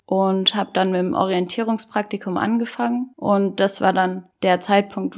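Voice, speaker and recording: Even-toned young woman of twenty-one. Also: mid-range voice. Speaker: student teacher. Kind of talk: casual interview answers.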